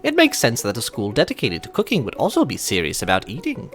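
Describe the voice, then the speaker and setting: A man co-hosting a game playthrough, switching between casual chat and animated story narration. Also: medium pitch, fast. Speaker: gaming video co-host and narrator. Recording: music behind.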